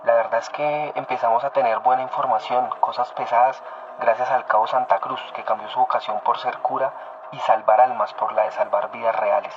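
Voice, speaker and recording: A man playing a guarded military officer giving a measured, official radio interview in an audio drama. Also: mid-range voice, fairly even intonation, natural voice. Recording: noisy, dull radio interview sound.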